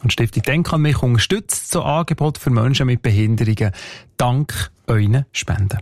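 A man's voice: medium pitch, normal speed, over loud music. A man with a Swiss German accent reading a scripted radio announcement plainly. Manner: plain informational read. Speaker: radio presenter.

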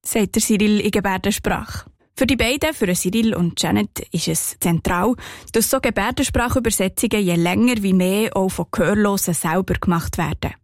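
A woman with a Swiss German accent narrating a scripted radio report in a calm, clear storytelling manner. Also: medium pitch, moderate pace, no echo, studio quality.

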